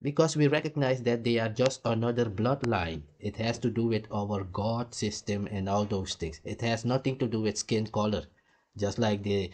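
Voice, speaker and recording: A man's voice: fairly low pitch, a little mellow. A man from Suriname, hosting a heated, blunt and profane reaction commentary. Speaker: commentary host.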